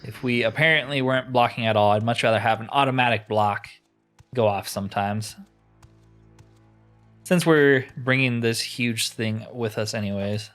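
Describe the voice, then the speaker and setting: A man's voice: mid-range voice, moderate pace, a bit bright, faint music behind. A man giving casual let's play commentary, chatty and informal as he thinks aloud. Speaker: gaming channel host.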